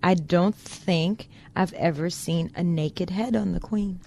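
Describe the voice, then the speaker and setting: A woman co-hosting a radio talk show, bantering quickly and wryly. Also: lowish voice, gravelly, a little mellow, a little hiss or hum. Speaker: radio co-host. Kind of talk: casual chat.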